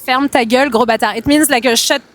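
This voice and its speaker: A young French woman with a French accent, chatting casually and playfully in halting English on a street. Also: high-pitched, steady tone, a bit bright. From France. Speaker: guest.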